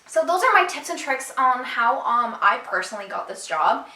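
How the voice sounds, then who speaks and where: Animated young woman vlogging in an echoey room. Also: fairly high pitch, moderate pace, recorded from a distance. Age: young adult. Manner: animated, emphatic, talks straight to viewers. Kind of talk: casual storytelling to camera.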